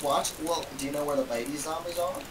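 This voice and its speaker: A man chatting casually while gaming, with sarcastic, deadpan teasing. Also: on the high side, fast. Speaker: gamer. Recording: distant noisy mic.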